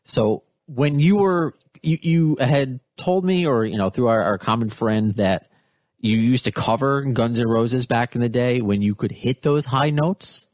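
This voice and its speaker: A man hosting a podcast interview, chatting in a friendly, appreciative way with quick asides. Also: medium pitch, a little mellow, dry recording, clean studio sound. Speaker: podcast host. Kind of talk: casual interview chat.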